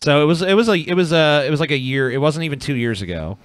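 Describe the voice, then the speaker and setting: A man's voice: a little high, fast talker, dry recording. A man co-hosting a podcast, chatting casually in a matter-of-fact way. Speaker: podcast co-host.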